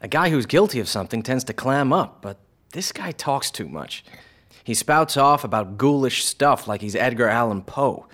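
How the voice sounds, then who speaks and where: A streetwise state trooper , played by a man, sizes things up in blunt, plain words. His voice sits in an ordinary middle pitch. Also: animated, moderate pace, a bit bright. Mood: wry. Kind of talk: radio drama acting.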